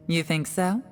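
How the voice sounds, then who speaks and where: A woman playing a cool, composed investigator in an anime game dub, speaking in a measured, confident, matter-of-fact way. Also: lowish voice. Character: cool, composed, watchful adult female investigator.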